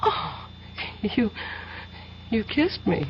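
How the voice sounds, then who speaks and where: A woman's voice: mid-range voice, very animated, very slow, creaky, full character voice. A woman playing a tender, anxious woman in love in an old-time radio drama. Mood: tender, flustered. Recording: muffled vintage radio recording with noise.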